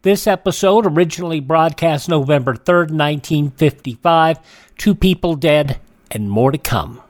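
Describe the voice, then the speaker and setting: A man's voice: fairly high pitch, normal speed. A man hosting a radio program, reading a scripted introduction. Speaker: radio show host and announcer.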